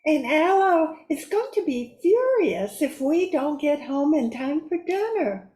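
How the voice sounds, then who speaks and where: In a roomy, echoing recording, a sweet young woman, played by an actress speaks earnestly. Her voice is high and unhurried, innocent yet spirited, in radio drama style. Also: very animated, mellow. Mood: urging. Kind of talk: amateur radio drama acting.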